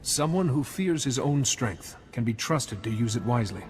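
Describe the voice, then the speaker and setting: An actor playing a calm, principled Jedi hero in a game cutscene, speaking in a measured, earnest way. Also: male voice, medium pitch, close to an everyday voice. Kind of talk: video game cutscene acting.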